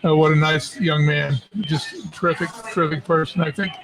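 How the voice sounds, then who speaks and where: An older man chats casually and appreciatively as a guest tennis coach, in halting phrases. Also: on the high side, steady tone, gravelly. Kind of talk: casual chat. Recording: crowd chatter behind.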